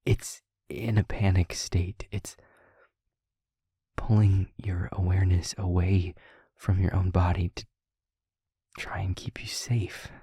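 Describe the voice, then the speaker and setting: A soft-spoken male vampire, acted by a man in an intimate audio drama. His voice is mellow, very breathy and captured close and dry. He speaks haltingly and with care, reassuring his newly turned partner. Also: deep voice, flat delivery, no echo, full character voice. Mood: quiet, explanatory. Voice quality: breathy, husky, near-whispering. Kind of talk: intimate audio roleplay monologue.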